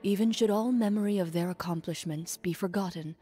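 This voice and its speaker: A woman voicing a poised, serious young woman in a game who speaks in a measured way. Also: mid-range voice, warm tone, faint music behind, natural voice. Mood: reserved.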